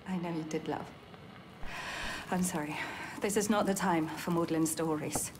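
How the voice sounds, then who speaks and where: An actress playing a wry, foul-mouthed British resistance agent posing as a nurse in a video game, brisk, dry and cheeky. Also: female voice, fairly low pitch, steady tone, noisy background, natural voice. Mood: hushed, businesslike. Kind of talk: video game cutscene acting.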